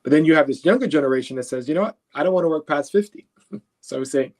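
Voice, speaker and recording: A man's voice: a little high, animated, fast talker, a little mellow. A man from Haiti answering as an expert guest in an interview, explaining emphatically in a conversational way, voicing example thoughts and often checking in with short questions. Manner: explains through imagined first-person quotes.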